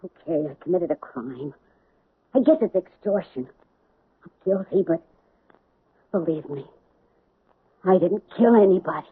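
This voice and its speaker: A woman's voice: on the low side, expressive, measured pace, pauses often, breathy edge, full character voice. An actress playing a bitter, defensive woman accused of extortion in a 1970s radio drama. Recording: tinny, dry old radio broadcast.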